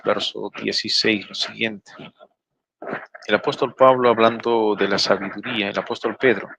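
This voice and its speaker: A man from Peru, arguing his position in an online debate in a measured way. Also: on the low side, gravelly, fairly bright tone, low-quality recording.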